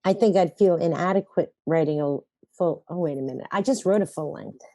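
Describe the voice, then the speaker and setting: A woman's voice: fairly low pitch, fairly quick, mellow, no echo. A woman playwright chatting in an interview, telling wry, self-mocking stories from her life. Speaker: playwright guest. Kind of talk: conversational interview.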